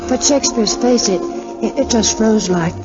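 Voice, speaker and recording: An actress playing a warm, composed young woman in a 1940s radio drama. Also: a little high, normal speed, close to an everyday voice. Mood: polite, curious. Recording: dull lo-fi 1940s radio recording, music behind.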